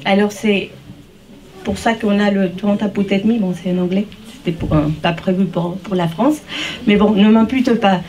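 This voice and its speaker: Woman artist, Mexican accent, soft-toned, earnest and halting public speech, recorded at a distance. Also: medium pitch. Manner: halting, searching for words, earnest. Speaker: artist, paper sculptor. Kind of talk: informal speech at an exhibition opening. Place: exhibition opening, speaking to guests. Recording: distant microphone, noisy room ambience.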